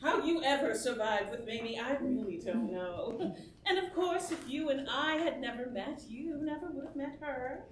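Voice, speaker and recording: A woman's voice: high voice, animated, normal speed. An actress playing an arch, amused, teasing lady friend in a stage musical reading. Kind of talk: musical theater stage acting. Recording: roomy distant stage recording, faint noise.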